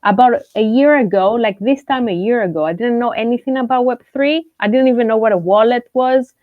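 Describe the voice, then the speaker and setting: A woman's voice: on the high side, fairly quick, no room sound, narrow, tinny audio. A woman from Greece, a journalist and content creator, chatting casually as a guest with many fillers and restarts. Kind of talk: casual interview chat.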